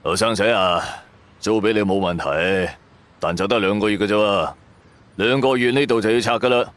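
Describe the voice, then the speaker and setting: A gruff local landlord, put on by a man with a Guangzhou Cantonese accent. He speaks low, in a blunt, businesslike way, as in an audio drama. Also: normal speed, fairly bright tone, lightly acted. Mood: blunt, offhand.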